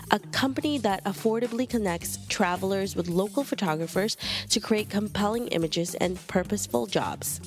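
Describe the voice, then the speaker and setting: A woman hosting a podcast, reading an enthusiastic scripted intro and asking questions. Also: medium pitch. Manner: upbeat and enthusiastic. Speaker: podcast host.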